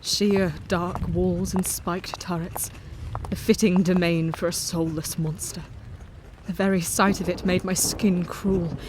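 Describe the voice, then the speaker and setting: A woman's voice: medium pitch, a little mellow, slight background noise, lightly acted. Brave young diarist, voiced by a woman; earnest, formal narration. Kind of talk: audio drama narration.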